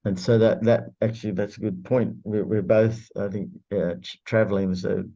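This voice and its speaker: Deep, mellow man, Greek native speaker; hesitant, halting delivery on a dull call line. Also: flat delivery. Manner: halting, lots of filler pauses. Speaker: prayer group member. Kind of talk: casual group video call. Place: indoor room shared with another participant.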